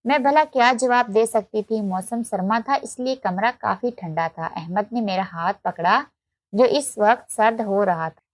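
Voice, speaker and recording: A woman's voice: medium pitch, unhurried, dry recording. A woman narrating a scripted, emotional first-person story as a storyteller.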